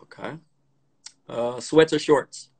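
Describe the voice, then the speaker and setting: A man in his thirties hosts a casual chat from a dim room, speaking into a phone on a live stream. His delivery is relaxed and loose, full of small restarts and repeated words. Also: medium pitch, takes it slowly. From Compton, California. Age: 30s. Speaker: podcast host. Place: dim room indoors, on a live video call. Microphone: phone camera, split-screen live stream.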